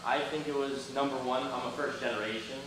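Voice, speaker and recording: A man's voice: mid-range voice, fairly even intonation, a little fast. A young man speaking to students as a guest speaker, earnest and motivational, answering questions. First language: Albanian. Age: young adult. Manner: earnest, motivational, loud at the start. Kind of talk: talk to students with Q&A. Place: classroom. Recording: roomy, from a distance, faint noise.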